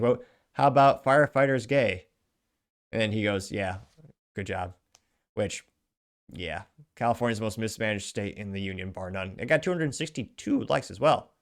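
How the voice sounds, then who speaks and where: A man hosting his own show, reading social media posts aloud with chatty asides in casual news commentary. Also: medium pitch. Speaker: show host.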